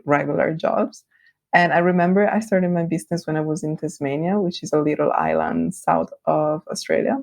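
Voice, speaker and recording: A woman with an Italian accent whose first language is Italian, chatting as an interview guest, friendly and quick to laugh. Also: low-pitched, gravelly, darkish tone, no room sound. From Italy. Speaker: guest, brand designer. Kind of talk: casual interview chat.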